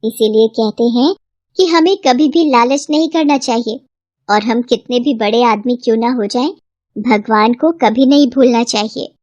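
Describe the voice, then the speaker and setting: A woman's voice: a little high, normal speed, a little mellow, no room sound, highs cut off. A woman narrator reading a scripted moral tale for children in a storytelling style.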